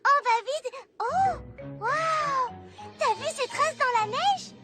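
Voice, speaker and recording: A woman voicing an eager, headstrong young girl in a French cartoon dub, excitable and insistent. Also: very high-pitched, slow pace, over music, full character voice. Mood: determined, bossy.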